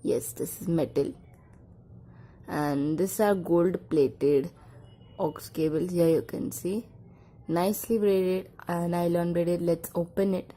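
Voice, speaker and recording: Lower-pitched, mellow woman presenting an unboxing. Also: steady tone, slow pace. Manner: simple, repetitive short phrases while demonstrating. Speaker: product review vlogger. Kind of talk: casual unboxing and demonstration.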